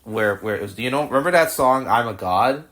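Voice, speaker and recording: A man's voice: medium pitch, animated, fairly quick, a bit bright. A man in his twenties hosting a podcast, asking rambling, casual questions full of restarts. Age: 20s. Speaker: podcast host. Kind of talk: casual interview chat.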